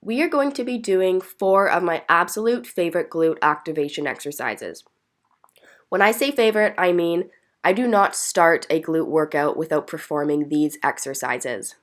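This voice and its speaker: A woman's voice: lowish voice, animated, moderate pace. A woman with a slightly stuffy, congested voice from being sick, narrating exercise instructions as a fitness vlogger in an encouraging, explanatory way. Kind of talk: instructional workout voice-over.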